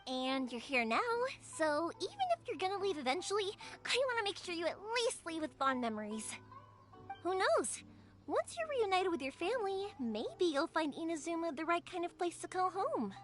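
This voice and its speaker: High-pitched young woman, cheerful, caring, chatty, swooping intonation, video game, light music behind. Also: normal speed, full character voice. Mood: upbeat, encouraging. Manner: chatty, expressive, easygoing. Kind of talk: video game character acting.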